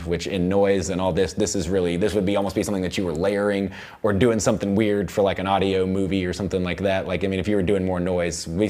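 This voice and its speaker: Low-voiced American man teaching audio production. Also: a little mellow. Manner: halting, often repeating and rephrasing phrases. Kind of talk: informal software tutorial with live demonstration. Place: recording studio control room.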